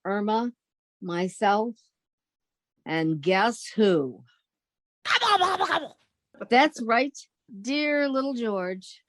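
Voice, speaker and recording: A woman's voice: medium pitch, animated, drawn-out words, pauses often, dry recording. A woman playing a sensible, wry young roommate who narrates a 1940s-style radio comedy. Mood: wry narration. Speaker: actress in theatre company.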